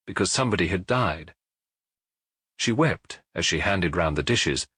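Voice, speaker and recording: A man giving an expressive scripted narration of a detective story as an audiobook narrator. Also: sits low, husky, no room sound.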